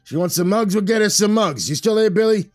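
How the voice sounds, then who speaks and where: A man performs a gruff crime boss in an audiobook. The speech is slurred and growling, crude and irritable, with a schemer's swagger. The recording is dry and close. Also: high-pitched, brisk, no echo, faint music behind, voice pushed up, close to an everyday voice. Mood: gruff, commanding. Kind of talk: audiobook character voice.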